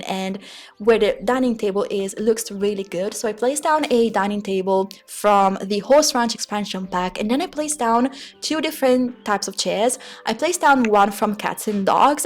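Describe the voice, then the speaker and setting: A woman with an Italian accent and Italian as her first language gives a rambling, hesitant voice-over for a game build video, often searching for words. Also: medium pitch. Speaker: Sims speed build creator. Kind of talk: casual voice-over commentary. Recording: voice-over with faint music bed. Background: soft background music.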